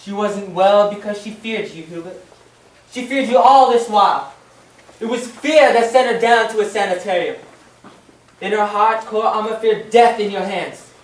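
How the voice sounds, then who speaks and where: An actor playing a stern, accusing investigator in an old-time radio play, speaking deliberately and theatrically. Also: mid-range voice, roomy sound, far from the mic, lightly acted, low-quality recording. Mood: grave.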